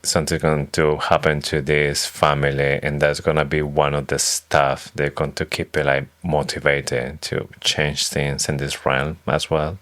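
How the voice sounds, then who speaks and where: A man with an extremely deep voice shares his predictions in an easygoing read-along chat. He pauses and restarts often, feeling his way through each idea. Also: little pitch movement, fairly bright tone. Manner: tentative, halting phrasing as he lays out predictions. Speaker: podcast co-host. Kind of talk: casual book-club chat.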